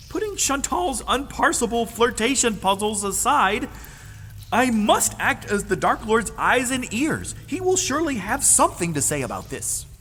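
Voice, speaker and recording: A Dark Lord's earnest, agitated minion in a fantasy audio drama. The voice leaps dramatically in pitch, with precise formal diction and anxious intensity, in a reverberant space. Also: female voice, slightly rough, heavily acted. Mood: flustered.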